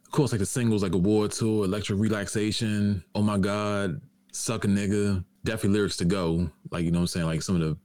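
Low, fast-talking man in slangy chat. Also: fairly even intonation, darkish tone, dry recording. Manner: profane, loose, conversational. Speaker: panelist on an album review.

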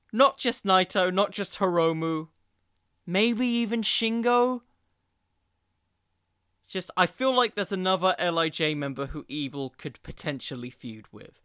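Bright, crisp higher-voiced man podcasting. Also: no room sound, studio quality. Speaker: podcast host. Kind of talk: solo wrestling podcast commentary, conversational.

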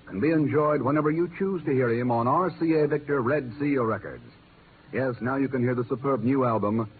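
Male announcer, 1950s radio commercial, polished emphatic read, narrow band with faint noise. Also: mid-range voice. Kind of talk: 1950s scripted radio advertising. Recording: narrow, noisy old radio recording.

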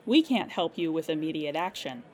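A woman's voice: low-pitched, moderate pace. A woman acting as a weary but patient emergency hotline operator in an audio comedy drama, polite and dryly professional. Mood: firm, reassuring. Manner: calm customer-service politeness with dry exasperation.

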